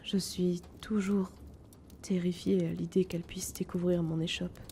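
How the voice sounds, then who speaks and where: A woman playing a gentle, timid, wounded young male potion seller in an intimate fantasy audio drama, speaking softly, hesitantly and often breathily. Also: on the low side, little pitch movement, unhurried, slight background noise. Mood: sad, fearful.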